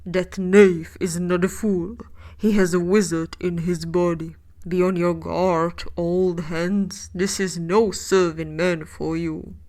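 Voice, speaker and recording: A woman voicing a huge, dim, blustering male giant in a storybook reading, slow and loud. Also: on the low side, flat delivery. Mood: alarmed.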